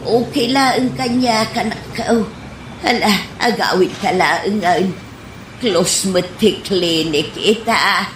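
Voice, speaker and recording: A woman playing a fretful, apologetic elderly mother in a radio comedy drama, with an Ilocano accent and plaintive, drawn-out exclamations. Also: medium pitch, a bit bright, a little hiss or hum. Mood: fretful, concerned. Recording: echoey room sound.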